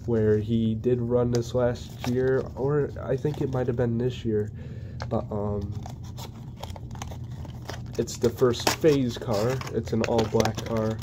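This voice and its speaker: A man's voice: medium pitch, slow pace. A young man with a cold, chatting casually and hesitantly while unboxing model cars on camera at home. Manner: hesitant, many ums and restarts; flat, even delivery. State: congested from a cold. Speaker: diecast collector reviewing model cars. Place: indoors at home, plain wall. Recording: noisy home recording.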